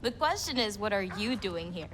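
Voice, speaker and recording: A woman's voice: medium pitch, lively intonation, normal speed, fairly bright tone, slight background noise, close to an everyday voice. A young woman playing a playful, teasing giantess in a video game, bold and wry in manner. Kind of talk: naturalistic video game acting.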